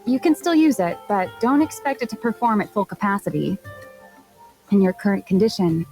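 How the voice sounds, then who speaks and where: A woman's voice: mid-range voice, big pitch swings, normal speed, dark tone, soft background music. A woman playing a blunt, exasperated young mechanic in a video game, speaking in a dry, scolding manner. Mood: matter-of-fact.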